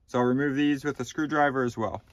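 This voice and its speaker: A man in his thirties calmly walking through a car repair step by step, with a few ums, recorded inside a car. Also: medium pitch, fast talker, dry recording. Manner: plain, methodical instructions with frequent ums. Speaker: DIY repair channel host. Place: inside a car, small enclosed cabin.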